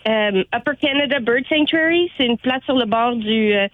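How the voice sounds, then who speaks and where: A woman's voice: on the high side, normal speed, dry recording, phone-quality sound. A woman in her forties from Ontario, with a Franco-Ontarian accent and a stuffy nose from allergies, cheerfully calling in to a radio show. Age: 40s. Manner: cheerful, laughs easily. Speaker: caller.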